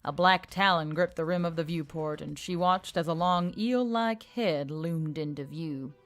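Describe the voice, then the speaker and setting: A woman's voice: low voice, measured pace. Woman narrating dramatic fiction over music. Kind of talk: scripted dramatic story narration. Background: faint background music.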